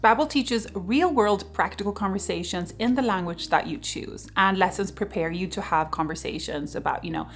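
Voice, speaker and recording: A woman's voice: mid-range voice, normal speed, hardly any pauses, soft background music. A woman in her thirties whose first language is Swedish, chatting casually in a vlog outdoors in the freezing cold, laughing often. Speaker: vlogger.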